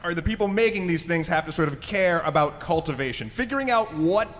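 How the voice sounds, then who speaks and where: A man's voice: fairly high pitch, expressive, brisk, a bit bright. A man hosting a live panel discussion, talking informally and addressing the audience. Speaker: podcast host. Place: large convention room with live audience. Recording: echoey hall, clearly noisy.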